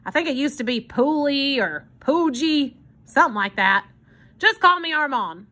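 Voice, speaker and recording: A woman's voice: high voice, lively intonation, moderate pace, pushed and bright, voice pushed up. Woman putting on a blustering old male hobo. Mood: offhand. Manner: blustering, protesting, colloquial. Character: gruff, grumbling old male hobo with a soft heart.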